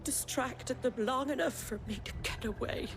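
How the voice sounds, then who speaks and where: A woman's voice: high voice, moderate pace, over music. A woman playing a tough, outspoken innkeeper in a fantasy video game, blunt and earthy. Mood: bitter, shaken.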